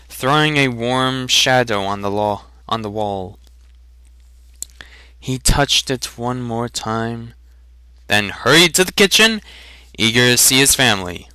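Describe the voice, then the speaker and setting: A man giving a deliberate, theatrical reading of a fantasy story as a narrator. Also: mid-range voice, sharp tone, clear, resonant tone, no room sound. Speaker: reader of a fantasy novel. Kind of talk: dramatic audiobook reading with joking asides.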